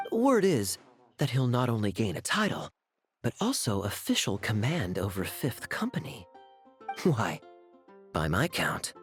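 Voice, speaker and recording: Suave cavalry knight, video game dialogue, sly and amused, lively intonation, music underneath. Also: male voice, mid-range voice.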